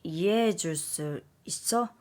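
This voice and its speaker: A woman voicing a gentle, apologetic young man, her fiancé, in a read-aloud story; he talks slowly and softly. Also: on the low side.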